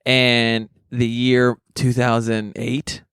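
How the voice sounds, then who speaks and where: A man with a naturally high voice, chatting and joking crudely as a podcast co-host in casual comedic banter. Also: completely flat delivery, slow, fairly bright tone, clean tone, dry recording, studio quality.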